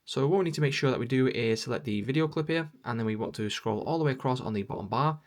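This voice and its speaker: A man's voice: medium pitch, quick pace. A man presenting a step-by-step app tutorial in plain instructional narration.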